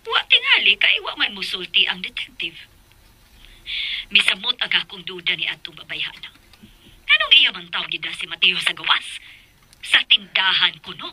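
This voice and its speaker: An actress in a radio drama playing a suspicious, stern middle-aged mother with a husky voice. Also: medium pitch, huge pitch swings, normal speed, pauses often, pushed and bright, a little hiss or hum, heavily acted, rough recording.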